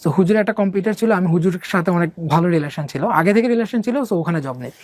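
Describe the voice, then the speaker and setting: A man's voice: high-pitched, fast, a little mellow, no echo. A young man from Bangladesh telling his own life story in a casual, rambling way, with many fillers and English words mixed in.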